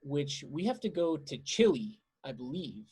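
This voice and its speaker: A man hosting a casual interview, putting his questions in a halting, deliberate way. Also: a little high, very animated, mellow, husky.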